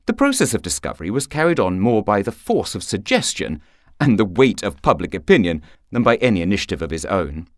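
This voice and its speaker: A man narrating a short story for radio in a theatrical, expressive manner. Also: on the low side, brisk, dry recording, clean studio sound. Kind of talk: scripted literary narration for radio.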